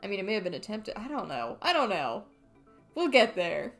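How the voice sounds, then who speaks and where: Female let's play host, casual, thinking aloud, expressive pitch, faint music underneath. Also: fairly quick. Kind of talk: casual game commentary.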